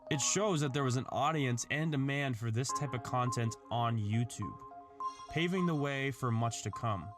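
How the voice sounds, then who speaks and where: A man's voice: medium pitch, moderate pace. A man reading an earnest, articulate scripted narration in the style of a video essay. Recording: background music under the voice. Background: music.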